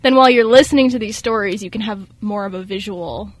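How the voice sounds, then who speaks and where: A young woman chatting casually, giggly and excitable. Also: mid-range voice, fast. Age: young adult. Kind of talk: casual chat.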